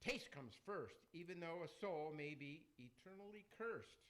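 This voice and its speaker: Male actor as vain damned soul, peevish, fussy, high sharp voice, slow animated delivery, radio play. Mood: peevish, indignant. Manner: fussy, indignant, theatrical. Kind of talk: amateur radio theater acting.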